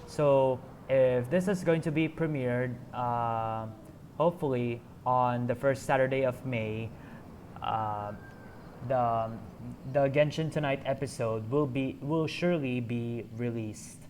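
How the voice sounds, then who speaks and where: Hesitant slow-talking male streamer. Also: mid-range voice, darkish tone, a little hiss or hum. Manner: hesitant, many fillers, apologetic. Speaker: game streamer host. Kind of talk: casual stream commentary.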